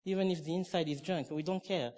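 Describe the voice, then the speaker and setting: A man's voice: fairly high pitch, a little fast, darkish tone. A middle-aged man from Cameroon preaching a sermon, emphatic and conversational, with restarts and asides.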